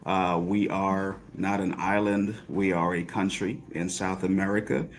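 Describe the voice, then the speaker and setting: A man's voice: sits low, fairly even intonation, measured pace, slight background noise. A man from Guyana, a judge, answering interview questions in a formal, courteous way. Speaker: guest, judge.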